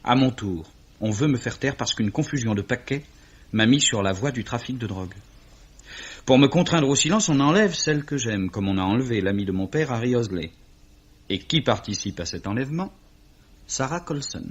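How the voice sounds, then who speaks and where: A man's voice: medium pitch, animated, normal speed, husky. An actor playing a distraught, impassioned young man with emphatic, theatrical delivery in a 1950s-style French radio drama. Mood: anguished. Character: distraught young Englishman accusing gang of crooks, impassioned. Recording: dull vintage radio recording.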